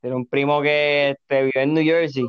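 A man's voice: a little high, little pitch movement, a little slow, clear, resonant tone, dry recording, highs cut off. A man of twenty-two from Puerto Rico with a Puerto Rican accent, a podcast guest chatting loosely in slang and swearing a lot. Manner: laid-back, slangy, swears constantly. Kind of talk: casual chat.

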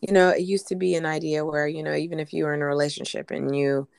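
A woman co-hosting a casual podcast, hoarse from a recent illness, chatting with lots of "um"s and "you know"s. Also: low-pitched, flat delivery, fast, dry recording, studio quality. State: hoarse from being sick. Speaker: podcast co-host.

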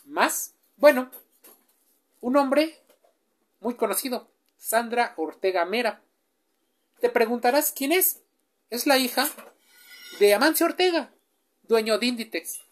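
A woman, giving a chatty explainer and often asking the listener questions. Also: fairly low pitch. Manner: chatty, asks the listener questions, with filler sounds. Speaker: presenter. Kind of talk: informal explainer speaking straight to the listener.

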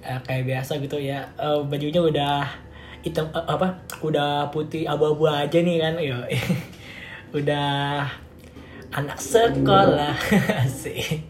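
A man's voice: a little high, fairly even intonation, takes it slowly. A teenage boy, hosting a casual solo podcast full of fillers and restarts. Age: teens. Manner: rambling, many fillers and restarts, laughs often. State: slightly hoarse. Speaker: podcast host. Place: home room with music playing. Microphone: home recording setup. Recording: echoey home room, faint noise.

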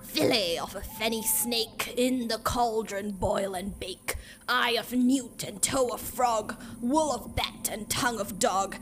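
A woman playing an eerie witch, chanting dark spells rhythmically in a dramatic Shakespeare reading. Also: a little high, slow, few pauses. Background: faint ominous music.

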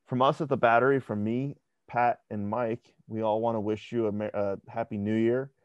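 Brief: young male podcast co-host, lowish voice, casual sports talk, dry dull recording. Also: level tone, normal speed, clear, resonant tone, no echo. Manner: conversational, repeats words when restarting.

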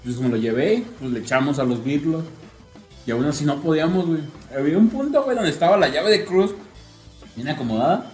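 Young mexican guy, animated podcast chatter, faint music under it, echoey. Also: fairly high pitch, brisk. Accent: Mexican Spanish. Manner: joking, animated, slangy. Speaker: podcast co-host. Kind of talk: casual banter among friends.

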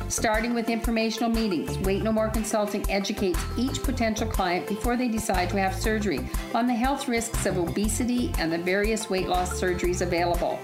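A woman reading a radio advertisement in a friendly testimonial tone. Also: medium pitch. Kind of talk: radio ad voice-over. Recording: music bed behind.

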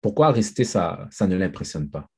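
A man moderating a group discussion, relaxed and mixing in English phrases. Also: sits low, fairly quick, vocal fry, slightly rough.

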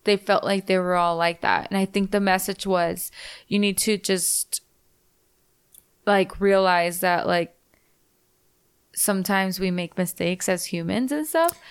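A woman co-hosting a podcast in casual chat, blunt and quick to swear. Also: medium pitch, clear, resonant tone. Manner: blunt, swears freely, rambling. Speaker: podcast co-host.

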